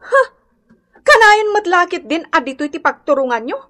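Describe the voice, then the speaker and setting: Stingy daughter-in-law, very high voice, animated swings, curt, dismissive, Ilocano accent, radio comedy drama. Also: female voice, normal speed, heavily acted. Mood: curt, grudging.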